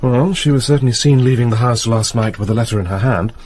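An actor with a British accent playing a shrewd senior police detective in a radio drama, measured and businesslike. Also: male voice, mid-range voice, darkish tone, close to an everyday voice. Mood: grave, polite. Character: composed, shrewd male senior police detective, polite and matter-of-fact. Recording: noisy radio drama with ambience.

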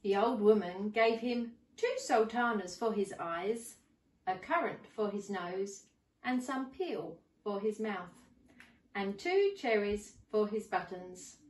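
Slow, animated woman reading a storybook. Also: medium pitch. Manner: deliberate, animated. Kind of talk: expressive storybook narration for young children. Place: living room at home. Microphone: camera a few metres away. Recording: roomy, distant camera microphone.

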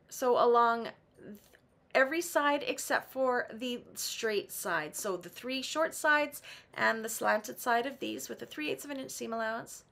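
A middle-aged woman with a raspy voice from laryngitis, patiently walking through a sewing tutorial step by step. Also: a little high, fairly bright tone. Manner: patient, practical, with some "um" and restarts. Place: home sewing room.

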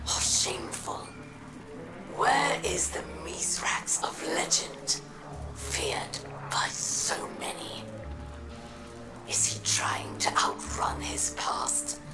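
A woman playing a bitter, proud alien warlord in a video game, speaking in a growling, breathy whisper with deliberate, threatening delivery. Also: mid-range voice, heavily acted. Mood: scornful contempt. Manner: slow, deliberate, theatrical and threatening. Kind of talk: scripted video game dialogue. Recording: echoing voice under loud music. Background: loud music.

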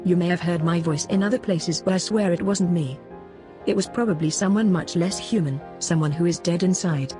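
A text-to-speech female voice playing a sardonic disembodied computer moderator, deadpan in scripted comedy dialogue. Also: on the low side, a little fast, music in the background. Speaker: moderator and sidekick.

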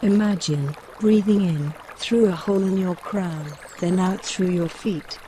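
A woman leads a guided meditation in a soft-toned, mellow voice. She speaks very slowly, with gentle, measured phrasing and room between instructions. A stream of water trickles behind her. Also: lowish voice, a little hiss or hum. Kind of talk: guided mindfulness body scan narration. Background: trickling woodland stream.

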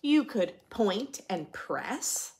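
A woman in her thirties reading a picture book aloud to preschoolers, expressively, in simple phrases with drawn-out key words. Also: mid-range voice, sing-song, darkish tone, a little hiss or hum. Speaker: host of a children's read-aloud show. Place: indoor room with bookshelf and armchair.

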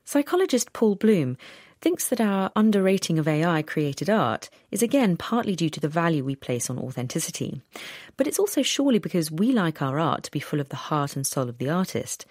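A woman's voice: deep voice, very animated, a little fast, a little mellow, no echo, studio quality. A woman presenting a radio documentary, narrating in a scripted, inquisitive way.

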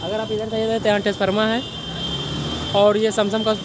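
A man's voice: high voice, completely flat delivery, moderate pace. A man vlogging at a street sweet shop, chatting with the staff and inviting viewers to drop by. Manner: chatty, inviting, asks vendors questions. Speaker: food vlogger. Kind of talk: street food vlog. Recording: street noise behind.

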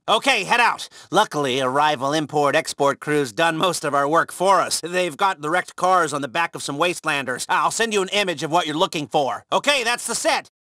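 A man's voice: on the high side, sharp tone, no room sound, studio quality. A man playing a nervous, chatty heist organiser in a video game, rambling eagerly and hesitantly through mission briefings.

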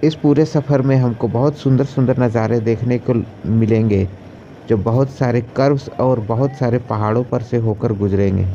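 A man's voice: mid-range voice, normal speed, lo-fi sound, background noise. A young man narrating a travel vlog as its host. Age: young adult. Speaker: travel vlogger.

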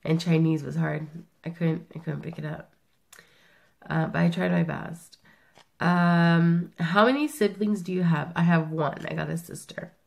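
A woman's voice: fairly low pitch, fairly even intonation, measured pace, creaky, darkish tone. A middle-aged woman whose first language is Italian, chatting casually and rambling through a tag video while sick, recorded on a webcam in a small room. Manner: chatty, rambling, self-correcting. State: sick, rough voice. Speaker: vlogger.

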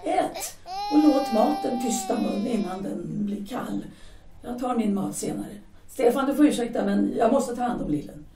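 A woman's voice: on the high side, very animated, background noise. An actress playing a harried young wife and mother who scolds and sounds strained. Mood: weary. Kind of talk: amateur audio drama acting. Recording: echoey room, distant from mic.

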